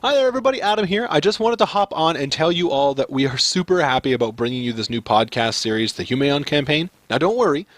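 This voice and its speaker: Higher-voiced man running a tabletop game. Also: lively intonation, quick pace, few pauses. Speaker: game master and podcast host. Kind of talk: casual tabletop game narration.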